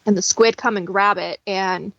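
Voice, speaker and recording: A woman talking casually as a guest in a relaxed conversation. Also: mid-range voice, normal speed, gravelly, no echo, lo-fi sound. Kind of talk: casual chat.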